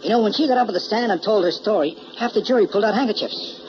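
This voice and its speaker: An actor playing an eager, chatty press photographer, breezy and slangy, in a vintage radio drama. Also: male voice, high voice, fast, close to an everyday voice. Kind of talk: 1940s radio drama acting. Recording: muffled, lightly noisy old radio recording.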